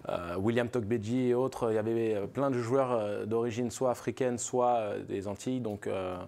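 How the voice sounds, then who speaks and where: A man giving conversational studio commentary as a basketball pundit, halting between short phrases. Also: medium pitch, fairly even intonation, vocal fry, warm tone. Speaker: basketball pundit, former player. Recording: music under the voice. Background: music.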